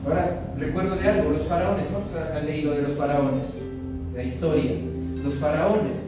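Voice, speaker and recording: A man's voice: fairly high pitch, steady tone, moderate pace. A man preaching a sermon as pastor. He asks the congregation questions and calls for responses. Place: church hall. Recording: echoey hall, distant mic, loud music underneath.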